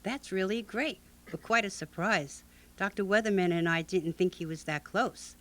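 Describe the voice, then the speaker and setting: Woman acting a bright, crisp-toned lab assistant. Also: mid-range voice, moderate pace, close to an everyday voice. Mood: surprised. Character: loyal woman scientist's top assistant. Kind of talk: Golden Age radio drama acting.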